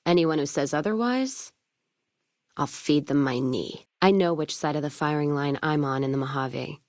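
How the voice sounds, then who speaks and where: A low-pitched tough frontier caravan woman in a video game. She is blunt and wry, a hard drinker with a western turn of phrase. The sound is dry, with no room around it. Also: moderate pace, a little mellow, dry recording. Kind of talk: video game character dialogue.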